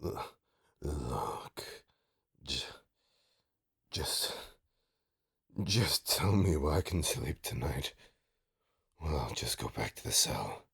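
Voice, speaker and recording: A man playing a bitter, taunting supervillain in an audio roleplay, with a raspy, gravelly voice and a sardonic, theatrical, halting delivery. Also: rumbling low, expressive, fairly bright tone, full character voice. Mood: hurt, defensive. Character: menacing, taunting male supervillain, bitter, grudgingly rehabilitating. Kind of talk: scripted audio roleplay drama.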